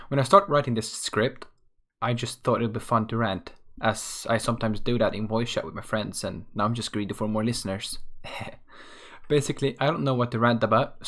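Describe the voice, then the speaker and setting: Young man, Swedish accent, mid pitch, offhand self-mocking script-read monologue. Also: fairly bright tone. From Sweden. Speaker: podcast host. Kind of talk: read-from-script solo rant.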